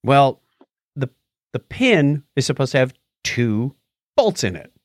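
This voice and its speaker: A man's voice: mid-range voice, sing-song, takes it slowly, dry recording, clean studio sound. A man co-hosting a podcast, talking casually and playfully as he tells stories and reads out listener comments. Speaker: podcast co-host.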